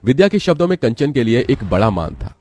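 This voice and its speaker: A man's voice: medium pitch, sing-song, a little fast, a little mellow, no room sound. A man narrating a scripted story as its storyteller.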